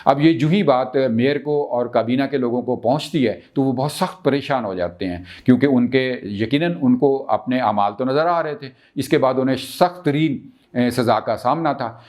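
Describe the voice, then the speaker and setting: A man with a Pakistani accent speaks to viewers as a political analyst and show host, deliberately and with frequent drawn-out hesitations. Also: medium pitch, a little mellow. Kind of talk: political commentary and storytelling to viewers.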